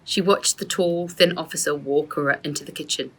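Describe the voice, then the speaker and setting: Low, husky-voiced woman reading a storybook. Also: steady tone, normal speed, rough recording. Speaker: author reading own book. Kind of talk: children's storybook narration.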